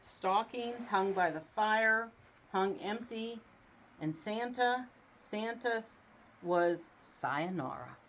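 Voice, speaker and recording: A woman reading a storybook aloud in an expressive storytelling style, with casual asides. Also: medium pitch, slow pace, a little hiss or hum, rough recording. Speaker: storybook reader.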